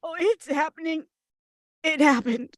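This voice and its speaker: A woman's voice: high-pitched, unhurried, dry recording, clean studio sound. A woman playing a mortified, helpless bride in a comedy screenplay table read. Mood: helpless panic.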